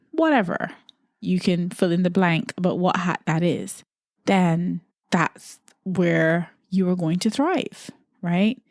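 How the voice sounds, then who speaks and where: A woman's voice: lowish voice, darkish tone, dry recording, studio quality. Reflective woman podcaster from Barbados. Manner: earnest, musing, repeats phrases for emphasis. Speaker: podcast host. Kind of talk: reflective solo podcast talk.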